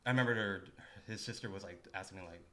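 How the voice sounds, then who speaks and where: A man's voice: low voice, fast, a bit bright. A man co-hosting a podcast in casual chat, talking informally with slang and casual swearing. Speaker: podcast co-host.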